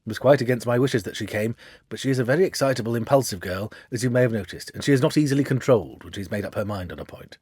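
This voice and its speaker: A man's voice: mid-range voice, fast, darkish tone, husky, no echo, lightly acted. A man voicing an evasive, insinuating villain of about thirty in a storybook, with a fussy, polite, self-excusing manner.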